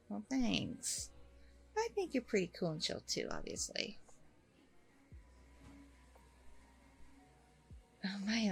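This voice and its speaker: A woman playing a warm, chatty single working mom on a date, in a casual, rambling ASMR roleplay. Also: mid-range voice, creaky, breathy edge, heavily acted. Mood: flattered, affectionate. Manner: casual, rambling, informal slangy talk. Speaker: roleplay performer.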